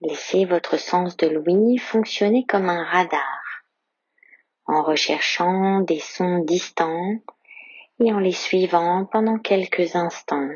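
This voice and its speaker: A woman guides a yoga nidra session in a slow, hushed, breathy voice, drifting into whispers. Her tone sits a little low, and the close, dry recording sounds somewhat dull. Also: no room sound. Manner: slow, soft-spoken guidance with whispered phrases. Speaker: yoga teacher.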